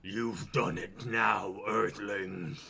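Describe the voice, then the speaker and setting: A man's voice: on the low side, steady tone, extremely slow, gravelly, sharp tone, breathy edge, heavily acted. A man voicing a coldly threatening, taunting shape-shifting alien in a live script reading. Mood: menacing.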